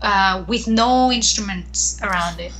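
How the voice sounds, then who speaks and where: A woman from Greece speaks as a guest singer in an interview. Her voice is bright and clear at a mid pitch, and she talks thoughtfully, pausing and restarting her sentences as she searches for words. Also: poor audio. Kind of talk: casual interview chat.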